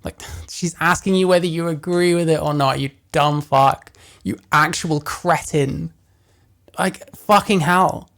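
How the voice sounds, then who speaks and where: A man with a deeper voice giving casual reaction commentary, blunt and profane as he rants. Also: measured pace, creaky, a bit bright. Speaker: commentary host.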